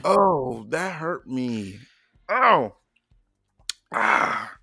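Lively, playful young man. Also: medium pitch, extremely slow, creaky, slightly rough, dry recording, studio quality. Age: young adult. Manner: playful, jokey, bursts into silly sing-song. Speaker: podcast co-host. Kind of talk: casual chat.

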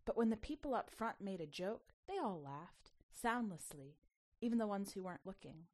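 Animated woman narrating fiction. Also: medium pitch, moderate pace. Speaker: podcast host and story narrator. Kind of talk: expressive scripted fiction narration.

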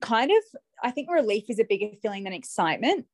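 A woman's voice: a little high, sing-song, fast talker, no echo, studio quality. A young woman chatting as a podcast guest about a match, laughing often as she talks. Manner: giggly, chatty, relieved. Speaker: podcast guest, sports fan. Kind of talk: casual chat.

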